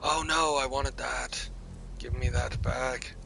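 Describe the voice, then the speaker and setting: A man's voice: mid-range voice, steady tone, slow pace, vocal fry, noisy background. A man live-streaming a fantasy game, improvising blunt, irritable in-character remarks and asides.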